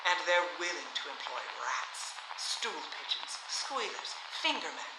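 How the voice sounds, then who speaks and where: A woman's voice: lowish voice, sing-song, measured pace. An actress playing a sarcastic, defiant young Hollywood woman at a 1950s hearing in a period radio drama. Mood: scathing, defiant. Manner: sarcastic, grandly rhetorical. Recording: roomy, noisy hall sound.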